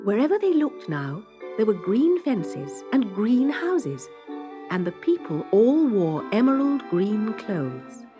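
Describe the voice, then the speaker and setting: A woman reading a children's story as narrator, in an expressive, dramatic storytelling manner. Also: mid-range voice, slow, lo-fi sound, over music.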